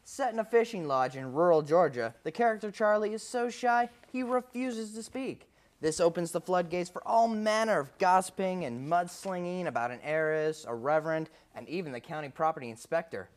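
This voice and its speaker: A man's voice: high voice, expressive, normal speed. Give me a young man with dry humor hosting student radio. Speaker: college radio co-host. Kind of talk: casual chat and read announcements.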